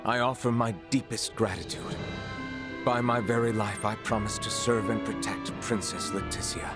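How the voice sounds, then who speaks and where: An actor playing a loyal, courteous nobleman knight in a video game, with formal, measured speech. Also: on the low side, steady tone, close to an everyday voice. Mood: devoted, respectful. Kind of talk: dramatic video game voice acting. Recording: game music behind. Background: background music.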